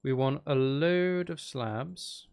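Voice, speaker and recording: A man's voice: on the high side, takes it slowly, vocal fry, darkish tone, smooth. A man giving casual live gameplay commentary, rambling and thinking aloud as he goes. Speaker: gaming streamer. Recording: faint background noise and clicking.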